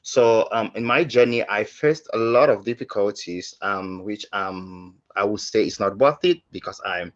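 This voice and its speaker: A man from Cameroon speaking on a webinar panel, telling his story earnestly and haltingly, with many fillers and restarts. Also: on the low side. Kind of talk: personal testimony on a webinar panel. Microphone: video call. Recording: slightly muffled lo-fi audio.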